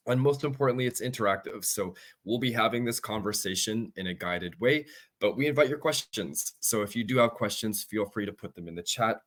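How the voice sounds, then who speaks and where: A man's voice: fairly low pitch, a bit bright. A man hosting an online university information session, upbeat and welcoming in a conversational way. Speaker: admissions host. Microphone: video call.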